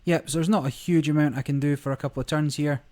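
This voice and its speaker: A man hosting a gaming channel, talking through a card game in a relaxed, rambling way, his voice a little croaky. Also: on the high side, quick pace. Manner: relaxed, rambling, many fillers. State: slightly croaky voice. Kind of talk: casual gameplay commentary.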